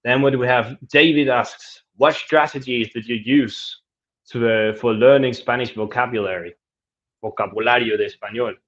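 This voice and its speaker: A man talks in an easygoing, chatty way, reading viewer comments aloud and answering them with small restarts. His voice sits at an ordinary middle pitch. The recording sounds a little dull and lo-fi. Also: fairly even intonation, moderate pace. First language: Danish. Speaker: travel vlogger answering viewer questions. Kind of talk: casual live Q&A, switching between English and Spanish.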